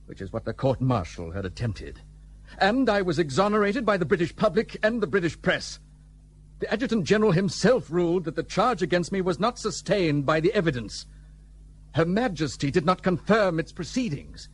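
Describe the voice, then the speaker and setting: An actor playing an anguished, defensive British army lieutenant in a period radio drama, formal and emphatic, on a noisy recording. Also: male voice, high voice.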